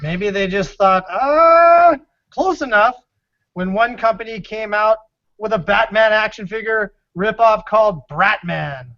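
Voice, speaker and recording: A man with a clearly high-pitched American voice, bantering playfully as a co-host. The sound is close and dry, with the top slightly rolled off. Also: measured pace, no room sound. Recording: dull, dry band-limited sound.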